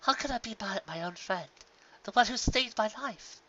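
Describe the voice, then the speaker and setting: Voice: medium pitch, animated, moderate pace, sharp tone, dull audio, slight background noise, pitched above the performer's own voice. A reader voicing a refined, dramatic and caring female unicorn in a fan fiction story. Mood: tender.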